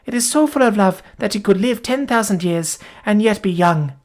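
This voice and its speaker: A woman's voice: medium pitch, animated, normal speed, darkish tone, voice pushed up, close to an everyday voice. A woman voicing a devoted young woman who is dying, speaking tenderly in faint, gasping breaths. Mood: tender, fading. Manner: gasping, tender, archaic formal speech.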